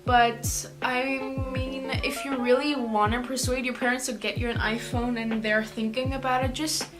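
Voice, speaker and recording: A woman's voice: fairly high pitch, level tone, normal speed, music in the background. A teenage South African girl, an Afrikaans speaker, answering viewer questions in a chatty, rambling way with lots of "like". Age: teens. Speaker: YouTuber. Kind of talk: casual Q&A vlog.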